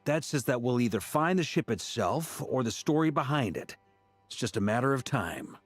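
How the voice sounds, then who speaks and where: An actor playing an easygoing, teasing young knight in a video game, with a casual, playful delivery. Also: male voice, medium pitch, expressive, moderate pace, no echo, faint music behind, lightly acted. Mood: confident. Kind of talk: video game character acting.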